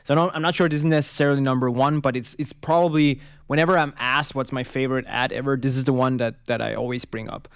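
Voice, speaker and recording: A man's voice: mid-range voice, steady tone, fast, vocal fry, few pauses, smooth, dry recording, clean studio sound. Man, casual podcast co-host. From Denmark. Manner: rambling, many fillers and restarts. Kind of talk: casual chat.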